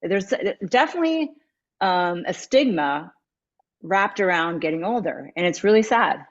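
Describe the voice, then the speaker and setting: Low-voiced woman in her fifties, muffled call audio. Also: expressive, unhurried. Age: 50s. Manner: candid, reflective, meandering. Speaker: guest, matchmaker and dating coach. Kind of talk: candid interview conversation.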